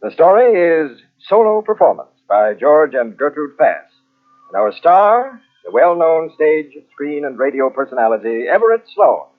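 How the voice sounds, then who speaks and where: A man hosting a 1940s radio mystery show with formal scripted, measured, theatrical delivery. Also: a little high. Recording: tinny old radio recording with music.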